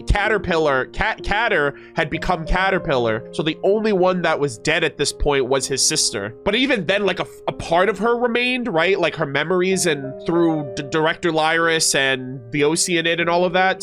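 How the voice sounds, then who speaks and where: A man with a somewhat high-set voice is streaming over soft plucked guitar music. He moves between reading a story aloud and casual commentary. His manner is expressive, informal and earnest. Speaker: streamer reacting to game lore.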